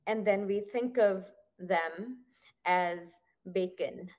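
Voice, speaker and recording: A woman's voice: medium pitch, slow, a little mellow. A woman interviewer with a mid-range voice asks long, reflective questions. She winds through her thoughts, dotting them with "you know" and "sort of". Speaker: podcast host, interviewer.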